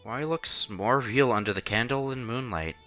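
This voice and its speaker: Male streamer, expressive visual novel read-aloud, forward bright tone, faint music underneath. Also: medium pitch, normal speed.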